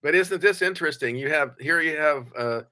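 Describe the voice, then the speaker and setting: A man's voice: a little high, fairly quick, pushed and bright. An older man as a podcast guest, speaking bluntly and plainly, giving motivational advice in conversation. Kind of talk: conversational interview.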